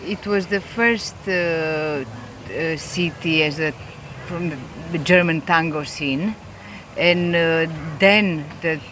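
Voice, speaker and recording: Higher-voiced man interpreting amid noise. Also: slow pace, sharp tone. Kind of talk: consecutive interpreting in conversation.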